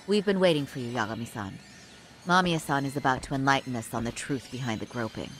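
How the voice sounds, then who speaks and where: A woman playing a composed, courteous professional in an English-dubbed crime game, formal and measured. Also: a bass voice. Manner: polite, measured.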